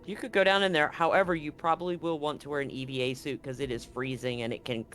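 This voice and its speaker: A man's voice: a little high, fairly even intonation, fast, fairly bright tone, soft background music. A man narrating a tabletop game as game master in an informal, joking way.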